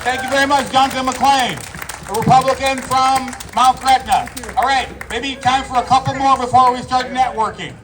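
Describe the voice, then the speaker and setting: A man moderating an outdoor town hall rally, brisk, calling up speakers and leading a chant. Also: extremely high pitch, steady tone. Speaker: event moderator. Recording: distant mic outdoors, loud crowd and noise.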